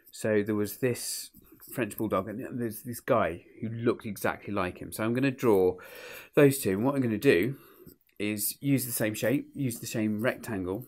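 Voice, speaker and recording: A man who sounds sniffly with a cold, chatting informally and unhurriedly to viewers as he talks through a drawing tutorial step by step, as an illustrator and host. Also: on the low side.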